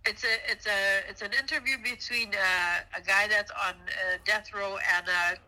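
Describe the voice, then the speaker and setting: A woman's voice: medium pitch, fairly even intonation, normal speed, pushed and bright, poor audio. A middle-aged woman chatting casually as a guest, in an unpolished, slightly hesitant and self-effacing way. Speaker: host's mother, a guest. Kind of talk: casual chat.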